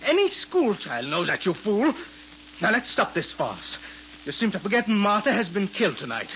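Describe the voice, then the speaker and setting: A man's voice: high-pitched, wildly swooping pitch, normal speed, fairly bright tone, heavily acted. An actor playing an arrogant, defensive male circus performer in a 1940s-style radio drama, speaking with stiff, put-on foreign airs. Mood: indignant. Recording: dull lo-fi old radio broadcast with faint hiss.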